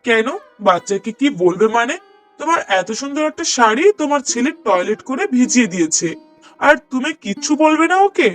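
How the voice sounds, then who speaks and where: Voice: mid-range voice, lively intonation, fairly quick, faint music behind, close to an everyday voice. A performer voicing a brooding, sarcastic husband and father in a moral-story cartoon, with pointed rhetorical questioning. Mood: reproachful.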